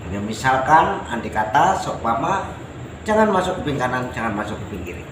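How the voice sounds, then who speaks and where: A man's voice: medium pitch, sing-song, normal speed. A man from Java with a Javanese accent delivers a patriotic monologue to viewers in an oratorical, emphatic manner, repeating his phrases. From Java, Indonesia. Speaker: self-styled folk personality addressing viewers. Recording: roomy echo with clear background noise.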